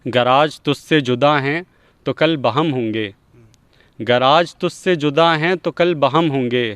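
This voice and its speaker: A man's voice: medium pitch, slow, a bit bright, clear, resonant tone, no echo. A man presenting and reciting Urdu poetry.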